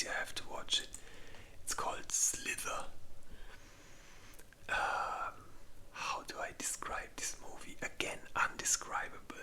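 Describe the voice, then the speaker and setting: Slow, bright ASMR whisperer. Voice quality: breathy unvoiced whisper. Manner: hesitant, halting, informal. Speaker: ASMR video creator. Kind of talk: whispered ASMR movie list.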